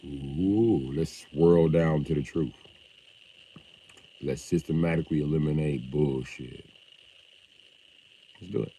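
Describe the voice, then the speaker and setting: A man chatting casually on a livestream, loud and profane, with an animated delivery. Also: very deep voice, takes it slowly, long pauses, a little hiss or hum, rough recording.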